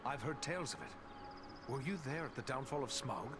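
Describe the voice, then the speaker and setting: An actor playing a steadfast, curious human ranger in a video game, speaking formally and earnestly. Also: male voice, medium pitch, expressive, normal speed, very noisy, lightly acted. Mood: curious, serious. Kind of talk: video game cutscene dialogue.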